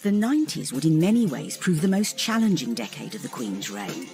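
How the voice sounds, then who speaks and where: A woman's voice: low voice, very animated, brisk, darkish tone. A woman delivering scripted documentary narration as a narrator. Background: music.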